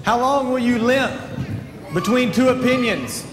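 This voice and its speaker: Man acting a defiant prophet, high voice, emphatic declamation, Bible stage play, echoey auditorium. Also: unhurried. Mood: solemn, challenging. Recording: echoey auditorium, voice at a distance, faint noise.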